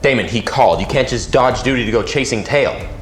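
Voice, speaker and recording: A man's voice: medium pitch, animated, a little fast, fairly bright tone, natural voice. A man voicing a loyal, easygoing male werewolf beta who talks in a casual, persuasive, teasing way in an audio drama.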